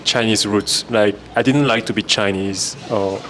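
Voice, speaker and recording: A man's voice: lowish voice, fairly even intonation, unhurried, a bit bright, slight background noise. Man, podcast host, casual chat, loose searching questions, faint room ambience. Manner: loose and searching, with many "like" fillers. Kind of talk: casual conversation, asking questions. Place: indoors with friends over drinks.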